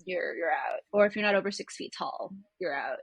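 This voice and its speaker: Woman, thirties, gravelly fry, dry close sound, casual guest on a podcast. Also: fairly low pitch, animated, a little fast, no room sound. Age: 30s.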